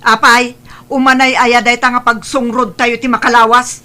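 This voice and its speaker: A woman's voice: a little high, level tone, moderate pace, bright, forceful tone. A woman playing a harsh, nagging mother in an audio drama, speaking with an Ilocano accent. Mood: irritated, scolding. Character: harsh, nagging mother scolding her young son.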